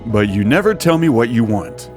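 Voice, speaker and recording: An actor playing a devoted, quietly commanding billionaire husband in a romantic audio drama, intimate and assured. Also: male voice, medium pitch, sing-song, moderate pace, soft background music, natural voice. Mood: tender, intimate.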